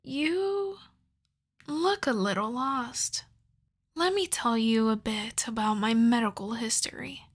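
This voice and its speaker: Slow, high-voiced obsessive vampire woman played by an actress. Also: breathy edge, exaggerated voice. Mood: confiding, possessive. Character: obsessive, starved yandere female vampire lab technician. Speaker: ASMR roleplay voice performer. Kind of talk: ASMR roleplay monologue to the listener.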